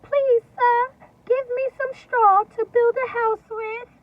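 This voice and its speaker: A woman's voice: very high voice, fairly even intonation, slow, no room sound, pitched above the performer's own voice. A woman voicing a polite, eager little pig in a storybook, in a pleading singsong. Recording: distant, lo-fi home recording, faint noise.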